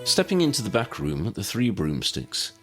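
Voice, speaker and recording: A man narrates a scripted story in a low voice. His pitch moves widely as he reads, giving the audiobook a highly animated shape. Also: moderate pace, faint music behind.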